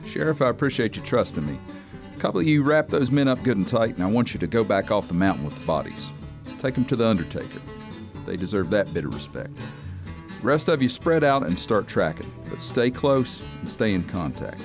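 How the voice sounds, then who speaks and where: An actor playing a steady, respectful lawman, speaking earnestly in a radio drama. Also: on the low side, music in the background. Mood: earnest, directing. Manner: measured, earnest, plain-spoken.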